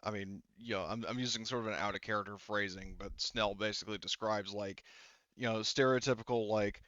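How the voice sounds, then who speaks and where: Low voiced guy game mastering, rambly n sweary, smooth voice, bit lo-fi. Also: steady tone, fairly quick, a bit bright, dry recording. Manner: rambling, filler-heavy, casually profane. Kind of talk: improvised tabletop narration, conversational.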